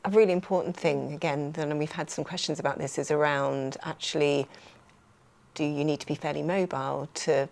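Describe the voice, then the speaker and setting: A woman's voice: sits low, steady tone, fairly quick, creaky, darkish tone. A woman hosting a conversational podcast interview, putting questions in a wordy, roundabout way. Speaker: host and recruiter.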